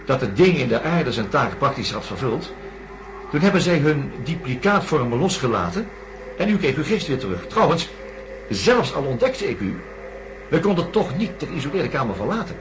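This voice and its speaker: A man's voice: on the high side, big pitch swings, moderate pace. An actor playing a doctor in a radio drama, patiently laying out his theory in an earnest, explanatory way. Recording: noisy radio play recording.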